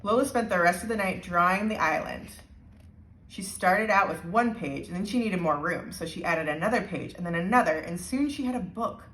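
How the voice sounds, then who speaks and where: A woman reading a children's storybook aloud with expressive narration. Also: lowish voice, moderate pace. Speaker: storybook reader. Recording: roomy, echoing indoor sound.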